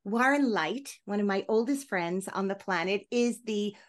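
A woman's voice: medium pitch, a little slow. A woman hosting an interview podcast, introducing things in an enthusiastic, effusive manner. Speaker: podcast host.

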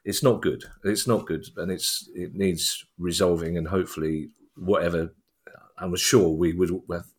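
A man's voice: sits low, fairly even intonation, moderate pace. A man co-hosting a casual football podcast, with a wry, self-deprecating sense of humour. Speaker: podcast co-host.

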